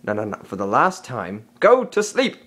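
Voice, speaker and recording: An actor playing a stern, protective panther in an animated film, authoritative and easily exasperated. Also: male voice, medium pitch, normal speed. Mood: exasperated, scolding. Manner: authoritative, formal, scolding.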